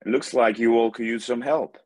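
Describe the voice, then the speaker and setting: An actor playing a stern, righteous giant sun god in an animated fantasy table read. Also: male voice, mid-range voice, fairly quick, close to an everyday voice. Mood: stern, commanding. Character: towering, righteous, radiant male sun god.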